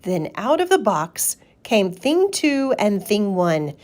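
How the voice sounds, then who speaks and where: A woman reading a children's story aloud with expressive, rhythmic, emphatic narration. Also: medium pitch, slow. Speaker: storybook reader.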